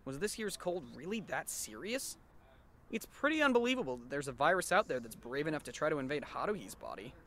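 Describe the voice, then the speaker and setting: A man's voice: on the high side, sing-song, fairly quick, fairly bright tone. A man playing a sardonic, bewildered teenage schoolboy who narrates an anime fandub with dry incredulity. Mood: dry disbelief. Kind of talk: anime fandub, inner monologue and dialogue.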